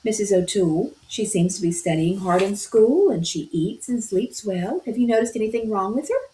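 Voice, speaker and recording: A woman's voice: medium pitch, lively intonation, moderate pace, dark tone, a little hiss or hum, natural voice. A woman voicing a sympathetic, chatty neighbor woman, read aloud from a storybook. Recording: roomy echo.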